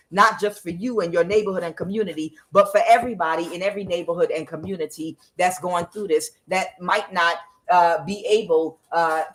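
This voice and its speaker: A woman with a somewhat low voice reads tarot cards by herself. She speaks bluntly and swears freely, scattering "uh" through her sentences and putting questions to the cards as she goes. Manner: blunt and profane, with frequent "uh" fillers. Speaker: tarot reader. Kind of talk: solo tarot reading to camera.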